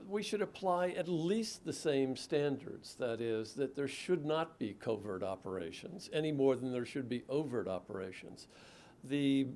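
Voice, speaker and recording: A middle-aged man, an author, answering audience questions in a measured, thoughtful way with frequent restarts. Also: mellow, a little hiss or hum. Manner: measured, thoughtful, with frequent restarts and low murmured "mm-hmm" while listening. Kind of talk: lecture Q&A answers.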